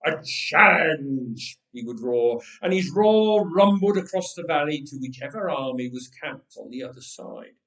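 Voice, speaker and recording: A man reading a story aloud expressively, with dramatic pauses, emphasis and drawn-out phrases. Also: on the high side, lively intonation, a little mellow. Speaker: story reader. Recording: echoey room, distant microphone.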